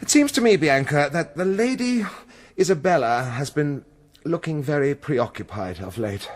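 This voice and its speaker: An actor playing an imperious, scheming middle-aged prince in a gothic audio drama, husky, commanding and insinuating. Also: male voice, fairly high pitch, expressive, normal speed. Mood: coaxing. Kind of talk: classical radio drama acting.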